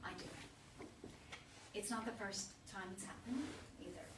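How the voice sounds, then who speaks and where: A woman's voice: medium pitch, measured pace, recorded from a distance, close to an everyday voice. A woman reading the part of an elegant, troubled mother in her sixties in a play, hesitant and uneasy. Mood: anxious, confiding. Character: elegant, troubled sixty-ish mother, a lawyer frightened by memory lapses. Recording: echoey room from afar, noisy.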